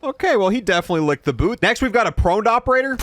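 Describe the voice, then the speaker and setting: A man's voice: sits high, expressive, rapid-fire, fairly bright tone, slight background noise. A young man doing casual gameplay review commentary, informal and thinking aloud. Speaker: gaming video host.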